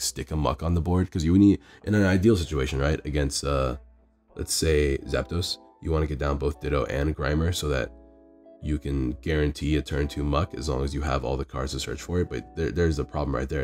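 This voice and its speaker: Hoarse man, very deep voice, quick self-correcting game commentary on a phone mic in a store. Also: a little mellow, faint music behind. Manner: rambling, self-correcting strategy talk. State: hoarse, losing his voice from illness. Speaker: card game player commentating his match. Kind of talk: casual play-by-play commentary.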